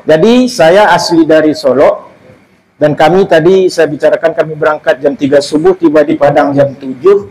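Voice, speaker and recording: A man's voice: a little high, steady tone, normal speed, a little mellow, slight background noise, low-quality recording. A man from West Sumatra giving a seminar talk to a live audience as guest speaker, in a loose, rambling way with many restarts. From Solok, West Sumatra, Indonesia.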